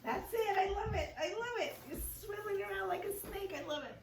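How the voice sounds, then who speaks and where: A woman reading a story aloud to children and teaching yoga poses with gentle, encouraging praise. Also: extremely high pitch, brisk, gravelly, slight background noise. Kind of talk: read-along story with yoga instructions for children. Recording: roomy, echoing, distant microphone.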